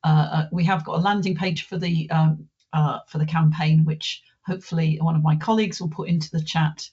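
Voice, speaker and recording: A presenter leading an online webinar, speaking in a halting way with many pauses and restarts. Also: medium pitch, steady tone, a little mellow, slightly rough. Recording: muffled.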